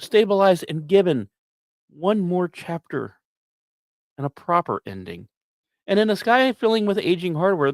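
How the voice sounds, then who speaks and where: A man's voice: a little high, no echo. A man hosting a podcast, reading scripted science news commentary with emphatic, dramatic phrasing and short punchy repeats, dropping in personal asides. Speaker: podcast host.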